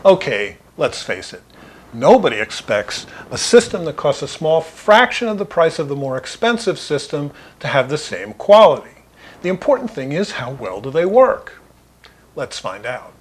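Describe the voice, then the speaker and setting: A man narrating a product review as an audio installer, explaining clearly and at a measured pace. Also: a little high, sing-song.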